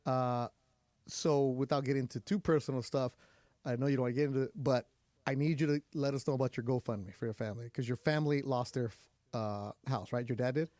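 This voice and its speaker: A man hosting a postgame radio call-in show who gets loud and high whenever he's fired up. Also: a little fast, no echo, studio quality. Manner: excitable, jumps high in pitch when fired up. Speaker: radio sports show host.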